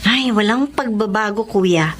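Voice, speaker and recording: An actress playing an affectionate, outspoken young adult little sister in a Filipino radio drama, frank and chatty. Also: female voice, medium pitch, unhurried, slight background noise, lightly acted.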